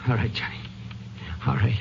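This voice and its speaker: An actor playing a cowed, nervous prisoner who pleads, in a 1950s radio crime drama. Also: male voice, moderate pace, lightly acted. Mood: fearful. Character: frightened, cowed young prisoner, expectant father. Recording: dull, hissy old radio recording.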